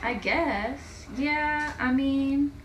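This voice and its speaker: A woman's voice: high voice, extremely slow, fairly bright tone, echoey room, distant mic, slight background noise, voice pushed up, exaggerated voice. A woman voicing a young schoolgirl heroine in a children's storybook. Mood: hesitant. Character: happy young schoolgirl heroine, sometimes hesitant.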